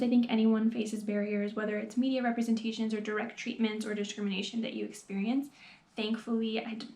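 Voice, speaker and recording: A woman's voice: on the high side, gravelly, a little mellow. A young woman, talking earnestly to the camera in a personal vlog. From New York, USA. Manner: earnest, reflective, quick and talkative. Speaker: PhD student vlogger. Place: small room with plain wall, indoors. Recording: echoey room.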